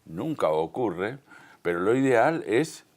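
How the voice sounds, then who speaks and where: Low-pitched Rioplatense man, interview guest. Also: very animated, slow. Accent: Rioplatense Spanish. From Uruguay and Argentina, Río de la Plata. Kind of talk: conversational television news interview.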